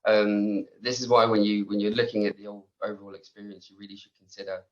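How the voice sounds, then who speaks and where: A man speaking as a guest panelist in a webinar discussion over a video call, measured and conversational. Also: lowish voice, flat delivery, dull audio. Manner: measured, conversational, leans on "you know".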